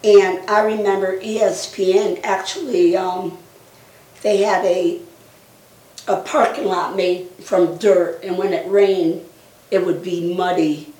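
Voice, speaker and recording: Casting: female co-host, 60s, unhurried and hesitant, casual talk, picked up from a distance. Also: lowish voice, steady tone, dark tone. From Connecticut, United States. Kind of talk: casual chat. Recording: echoey room, distant mic.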